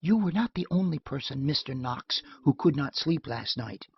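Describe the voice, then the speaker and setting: A man's voice: mid-range voice, expressive, normal speed, gravelly, breathy edge, dull audio, pitched above the performer's own voice, heavily acted. A man reading an audiobook voices an anxious, bitter young woman who speaks in defiant outbursts.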